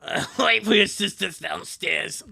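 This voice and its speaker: A man's voice: high-pitched, unhurried, vocal fry, sharp tone, breathy edge. A man doing a casual, rambling, jokey livestream with commentary on what he reads. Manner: jokey, rambling, chuckling asides. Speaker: live streamer.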